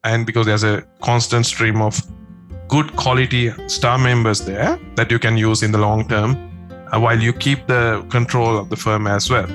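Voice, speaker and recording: A man's voice: medium pitch, fairly even intonation, moderate pace, over music. A man from Sri Lanka, a tax agent answering interview questions in an earnest, step-by-step way.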